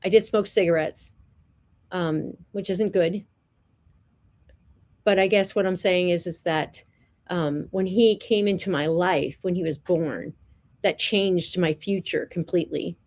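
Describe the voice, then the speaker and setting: A middle-aged woman from New Jersey, speaking to the camera in an earnest, rambling way. She gives a casual testimony, repeating words and filling pauses with "um." Her voice sits on the low side. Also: darkish tone. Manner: earnest, chatty, repeats herself with many "um"s. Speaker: vlogger.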